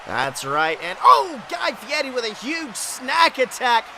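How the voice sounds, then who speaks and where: A male wrestling commentator calls the action in a strikingly high, sharp voice. His delivery is breathless and exclamatory, full of dramatic emphasis. There is faint noise and ambience behind him. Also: huge pitch swings, unhurried. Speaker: wrestling commentator, podcast host. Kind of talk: excited play-by-play wrestling commentary.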